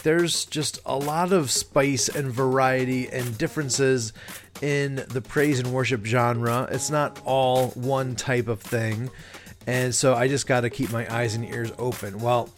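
A man hosting a podcast, introducing his guests with enthusiastic, chatty talk and filler words. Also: medium pitch. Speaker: podcast host. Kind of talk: show intro. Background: music playing.

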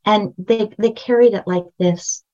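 Emphatic older woman with a high voice. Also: unhurried, mellow, muffled recording. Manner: emphatic, storytelling, repeats phrases for stress. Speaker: guest, brand strategist and book coach. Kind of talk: podcast interview.

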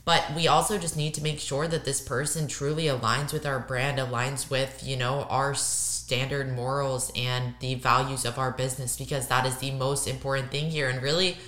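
A young woman with a raspy, hoarse voice that she is losing, talking in an emphatic, casual way and doubling up words for stress. Her tone is bright and forceful, and the sound carries the echo of a roomy space. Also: a bass voice, fairly even intonation, normal speed, few pauses. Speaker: podcast co-host. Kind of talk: casual podcast chat.

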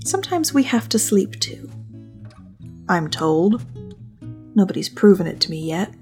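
A woman's voice: mid-range voice, very animated, unhurried, a little mellow, faint music behind. A woman hosting a podcast with scripted but conversational narration and a playful, dry sense of humor. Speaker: podcast host.

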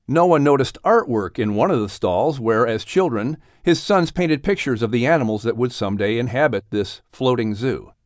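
A man reading scripted storybook narration in an expressive, earnest storytelling manner, as an audiobook narrator. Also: medium pitch, dry recording, studio quality.

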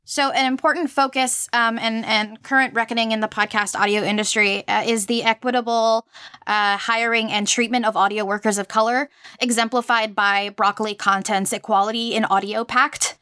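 A woman's voice: a little high, flat delivery, moderate pace, pushed and bright, smooth, no echo, studio quality. A woman working as a podcast interviewer, speaking in a conversational interviewing style.

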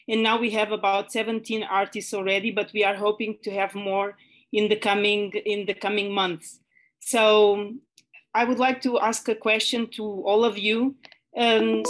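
A woman's voice: medium pitch, poor audio. A woman moderating an online conference panel, talking with many hesitant fillers over a video call.

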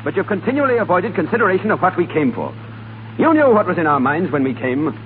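Brooding Irish rebel leader, tinny old radio. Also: male voice, high voice, sing-song, fast, slight background noise. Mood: firm, grave. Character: Irish rebel leader, brooding and torn between love and oath. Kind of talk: 1930s radio acting. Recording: tinny 1930s radio recording with music behind.

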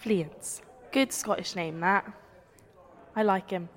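An actress playing an excitable, opinionated village gossip in a radio play, gushing about local politics. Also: female voice, mid-range voice, slow pace, big-room sound, natural voice. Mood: gushing, knowing.

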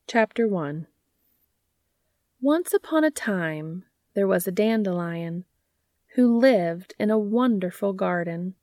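A woman's voice: mid-range voice, gravelly, darkish tone, no echo, studio quality. A woman hosting and reading stories aloud in scripted storybook narration, with music behind her. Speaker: podcast host and fan fiction reader.

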